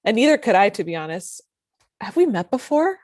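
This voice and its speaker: A woman's voice: mid-range voice, big pitch swings, a little fast, darkish tone, no echo, natural voice. A woman reading the part of a sardonic, dismissive female TV network executive in a comedy table read. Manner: dry, dismissive, caustic.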